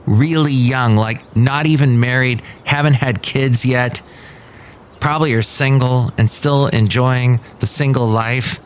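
A man's voice: medium pitch, a little hiss or hum. A middle-aged man hosting a podcast in a café, talking in a rambling, chatty way that keeps interrupting itself and drifting off topic. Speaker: podcast host. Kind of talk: rambling casual monologue.

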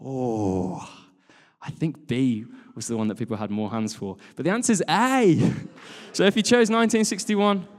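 A man's voice: medium pitch, measured pace. A British man giving an informal sermon in a chatty, jokey way, speaking as a church worship leader and engaging his listeners with questions. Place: large church hall in front of a congregation. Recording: reverberant hall, live church talk.

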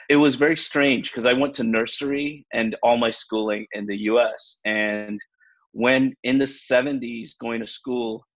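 A man's voice: mid-range voice, a bit bright, dull audio. A man in his forties, a realtor, telling his story in a casual and reflective way in an interview. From born in India, raised in the US. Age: 40s. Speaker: realtor guest.

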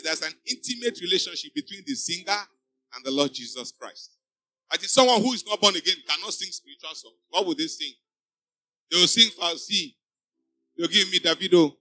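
A man's voice: high voice, pushed and bright, rough recording. A man preaching a sermon to a church congregation. He exhorts the listeners with emphatic, repeating refrains. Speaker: pastor. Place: church before a live congregation.

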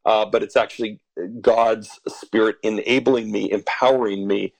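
A man's voice: lowish voice, fairly even intonation, moderate pace, gravelly, no room sound, rough recording. A man in his forties from western Canada, an author speaking as a podcast guest, thoughtful with frequent fillers and chuckles. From Alberta, Canada. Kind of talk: podcast interview.

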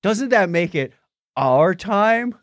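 A man co-hosting a podcast in casual chat, sometimes halting as he searches for words. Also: high-pitched, lively intonation, dry recording. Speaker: podcast co-host. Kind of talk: casual chat about movies and tech.

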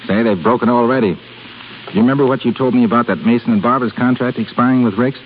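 A man's voice: mid-range voice, fairly even intonation, fast, close to an everyday voice. An actor playing an eager, lovestruck young businessman, breezy and boyish, in 1930s radio drama style. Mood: breezy, triumphant. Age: young adult. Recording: muffled, noisy 1930s radio recording.

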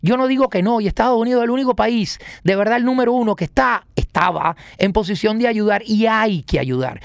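High-pitched, emphatic Venezuelan man. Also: brisk, creaky, no room sound, studio quality. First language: Italian. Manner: emphatic, rhetorical questions, repeats phrases. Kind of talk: political rant in conversation.

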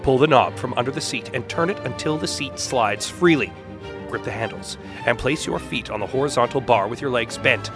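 A man reading scripted instructional text aloud as a staged dramatic reading. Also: mid-range voice. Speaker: announcer and reader. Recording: music behind the voice.

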